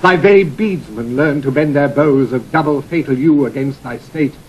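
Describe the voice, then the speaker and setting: An actor playing a grave, loyal knight bringing dire news in oratorical Shakespearean verse with a British accent. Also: male voice, medium pitch, normal speed, slight background noise, close to an everyday voice. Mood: grim urgency. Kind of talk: classical Shakespearean verse acting. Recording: muffled vintage studio recording.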